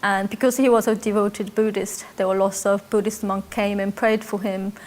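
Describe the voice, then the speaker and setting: A woman in her forties with a Korean accent tells her story in English, her second language. She speaks at a middle pitch in a measured, reflective way, often pausing with soft fillers as she thinks. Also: level tone. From South Korea. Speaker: interviewee, church member. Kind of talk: personal testimony interview.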